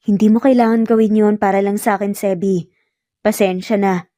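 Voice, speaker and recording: A woman's voice: mid-range voice, fairly even intonation, brisk, mellow, dry recording, studio quality, lightly acted. A woman voicing a shy, jealous and mildly irritable young mother in an audio romance story, hesitant and pouting. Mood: low, doubtful.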